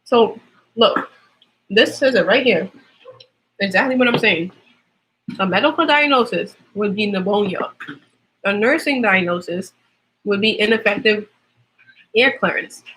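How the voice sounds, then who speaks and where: A woman's voice: medium pitch, a little slow, long pauses, fairly bright tone, husky, rough recording. A young woman with a Trinidadian accent, chatting casually on a livestream as a nursing student, explaining things with lots of fillers.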